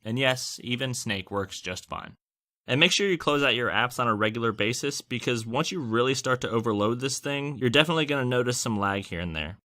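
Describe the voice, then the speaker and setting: A man's voice: medium pitch, expressive, fairly quick, pushed and bright. A man hosting a scripted product review, narrating with wry, joking asides. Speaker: tech reviewer and host.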